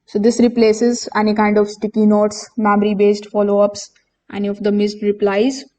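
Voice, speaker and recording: A woman giving a steady, step-by-step software walkthrough as a voice-over, with occasional fillers. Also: mid-range voice, moderate pace. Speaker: software tutorial presenter. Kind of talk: screen-recorded software walkthrough.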